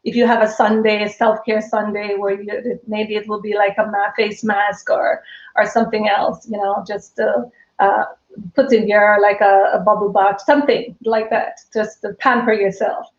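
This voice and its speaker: A woman's voice: medium pitch, flat delivery, normal speed, reverberant, lo-fi sound. Jamaican-accented woman, chatty and laughing. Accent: Jamaican, mixed with British and American. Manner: chatty, laughs often, many restarts. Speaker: guest, small business owner. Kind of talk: casual live stream chat.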